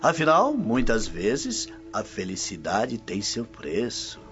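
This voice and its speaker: A man's voice: on the low side, wildly swooping pitch, slow pace, over loud music, full character voice. An actor with a Brazilian accent playing a jovial, teasing, wealthy Roman womanizer in a radio drama. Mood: amused, knowing. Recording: dull, muffled studio track.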